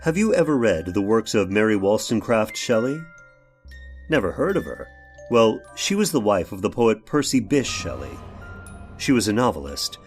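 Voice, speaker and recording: Genteel, halting inventor, low swooping voice. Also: male voice, big pitch swings, faint music behind. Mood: polite, uneasy. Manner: genteel, halting, polite, rambling. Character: courteous, ailing, secretive inventor of artificial limbs confessing. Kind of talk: old time radio horror drama acting.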